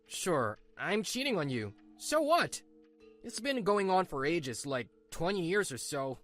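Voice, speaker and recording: A woman's voice: on the low side, sing-song, measured pace, faint music behind. A woman voicing a smug, sneering middle-aged husband in a manga dub. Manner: sneering, dismissive.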